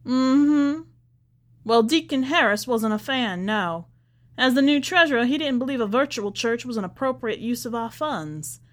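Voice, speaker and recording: A woman's voice: on the high side, lively intonation, moderate pace, voice pushed up, close to an everyday voice. Folksy, devout church lady, played by a woman narrator, rambling and evasive, for a storybook reading. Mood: guarded, pointed. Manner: chatty, folksy Southern-style church talk. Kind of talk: acted storybook dialogue.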